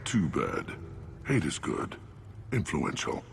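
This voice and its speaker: Gruff alien clan chief, slow delivery, swooping intonation, contemptuous, blunt, game cutscene. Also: male voice, extremely low pitch, wildly swooping pitch, a little hiss or hum, heavily acted. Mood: dismissive. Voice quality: gravelly, growling. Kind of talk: video game cutscene acting.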